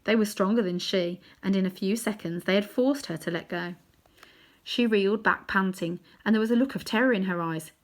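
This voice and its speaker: A woman reading a children's novel aloud in chapters, adding gentle asides to her listeners. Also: medium pitch, brisk. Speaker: storybook reader.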